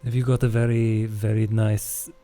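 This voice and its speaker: Guy doing a sly relaxed vampire, lowish mellow voice, dry teasing, rpg. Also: normal speed, lightly acted.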